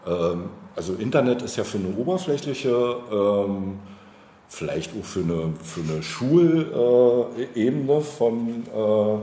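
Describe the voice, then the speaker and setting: Low-voiced, halting man in a roomy recording. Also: expressive, mellow. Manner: hesitant, deliberate, halting phrasing. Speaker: political candidate being interviewed. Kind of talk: reflective interview answers on policy. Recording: roomy, noticeable background noise.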